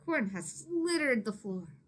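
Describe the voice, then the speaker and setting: A woman reading a story aloud to children expressively, with dramatic emphasis and hushed asides to her listeners. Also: a little high, sing-song, measured pace, slight background noise. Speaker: teacher or reader of a children's story.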